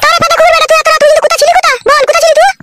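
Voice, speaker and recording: A woman's voice: very high voice, little pitch movement, racing. A woman voicing a furious young wife in a cartoon, nagging and cursing at her husband. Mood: scolding. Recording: loud hissy noise behind.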